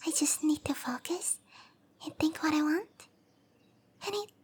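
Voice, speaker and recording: Woman voicing a tiny, shy, very high-voiced cowgirl. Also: a little hiss or hum. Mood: shy, reminiscing. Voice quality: very high, soft, breathy girlish voice. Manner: bashful, hesitant, slow and gentle. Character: shy, sweet, petite young cowgirl monster girl with nature magic. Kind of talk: anime-style ASMR roleplay.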